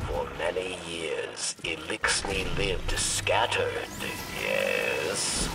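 A man playing a wary old alien advisor in a video game, with a whispery rasp and halting phrases. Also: low-pitched, very animated, very noisy, full character voice. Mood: grave, cautioning. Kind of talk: video game character acting. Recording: distant voice under loud game music.

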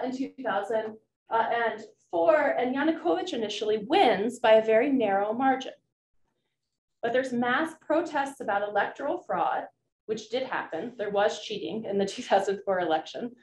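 A woman political science professor giving a lecture to a room from her slides, with many ums and restarts. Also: a little high. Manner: frequent ums and uhs, restarts, explanatory. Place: lecture room with projector screen. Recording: echoey room, faint background noise.

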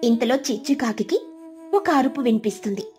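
Female storyteller, high voice, scripted children's narration over background music. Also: animated, normal speed, darkish tone.

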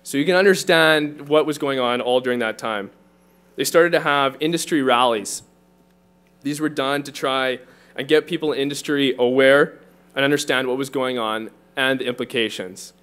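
Young adult man, lectern speaker, earnest and steady read from notes, conference hall mic. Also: medium pitch, normal speed, smooth. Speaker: conference speaker, poultry farmer. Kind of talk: prepared talk read from notes. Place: large conference hall, indoors. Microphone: lectern microphone.

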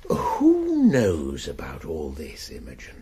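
An actor playing a dignified college Master in a BBC radio drama, measured and authoritative. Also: male voice, a bass voice, wildly swooping pitch, dark tone, husky, exaggerated voice. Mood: grave. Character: dignified older male college head.

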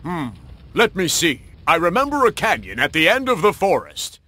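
A man's voice: sits high, big pitch swings, moderate pace, sharp tone, full character voice. A man voicing a proud, bold big cat who would be king in a children's cartoon, with a boastful, emphatic delivery. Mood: thoughtful, eager.

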